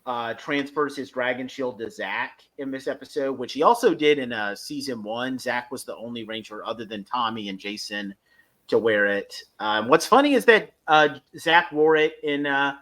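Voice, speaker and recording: Guy podcaster, forceful bright voice, stop and start fan chat, punches some words. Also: medium pitch, normal speed. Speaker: podcast host reviewing a fan audio drama. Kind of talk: casual fan discussion.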